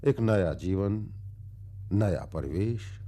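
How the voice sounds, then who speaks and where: A world-weary philosophical man in a radio play, recounting his past. His voice is low and dark, and he moves slowly and deliberately through formal phrases, pausing long, with very animated pitch swings. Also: heavily acted. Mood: brooding, wistful. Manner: brooding, deliberate, with long pauses. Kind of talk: dramatic radio-play storytelling in formal literary diction.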